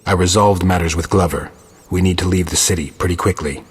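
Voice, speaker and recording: A man voicing a gruff, weary monster hunter in a video game, terse and deadpan, with a raspy growl. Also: low-pitched, fairly quick, no room sound. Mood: dry, terse.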